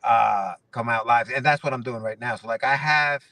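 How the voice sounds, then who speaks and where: A man in his forties from Ecuador talks casually as a guest, circling back over his words with restarts and fillers. His voice is bright and forward, caught in a dry space from some way off the mic. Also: mid-range voice, normal speed, no room sound, far from the mic. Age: 40s. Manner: rambling, many restarts and fillers. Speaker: guest comic writer. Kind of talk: casual interview chat.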